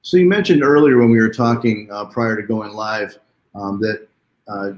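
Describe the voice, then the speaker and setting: A man's voice: lowish voice, moderate pace, muffled recording. A man hosting a live online interview in a chatty, welcoming, conversational way, with a roomy sound. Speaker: host.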